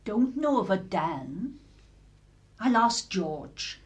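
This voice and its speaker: A woman's voice: mid-range voice, very animated, extremely slow. An actress playing a nosy, chatty working-class English woman who puts on airs, in a radio drama. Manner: gossipy, colloquial, affected politeness.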